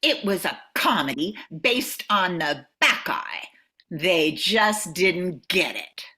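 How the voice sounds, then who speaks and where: An older woman playing a highbrow, myth-loving former English teacher with a dramatic manner in an amateur radio comedy. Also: big pitch swings, slow pace, pushed and bright, full character voice. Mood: aggrieved.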